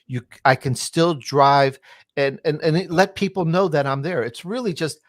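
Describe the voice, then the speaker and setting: A man's voice: on the high side, moderate pace, no echo, studio quality. A man speaking as an interview guest, telling his business story and giving advice in an emphatic, self-assured, conversational way. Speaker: interview guest, podcaster and entrepreneur.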